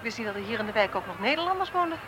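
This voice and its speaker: An actress playing a brisk, sardonic police detective with curt, dry replies in a radio play. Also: female voice, on the high side, very animated. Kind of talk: naturalistic radio play dialogue. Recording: noisy street and vehicle ambience.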